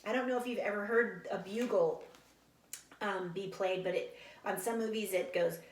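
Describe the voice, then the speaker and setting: A woman's voice: medium pitch, normal speed. Woman read-aloud teacher, mid-range voice, casual explanatory asides between story passages, roomy echoing sound. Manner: explains to young listeners, animated sound imitations. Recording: echoey room.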